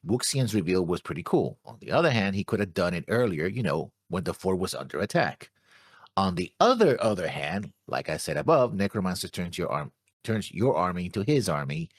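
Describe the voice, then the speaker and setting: Low-voiced man with swinging pitch. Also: big pitch swings, normal speed, no room sound, clean studio sound. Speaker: reaction show co-host. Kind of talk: casual discussion of an anime episode.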